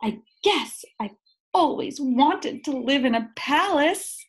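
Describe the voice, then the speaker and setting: A woman voicing a small, curious toy bear in a storybook, with a breathy, innocent, wondering delivery. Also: high voice, expressive, slow, voice pushed up. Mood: delighted wonder.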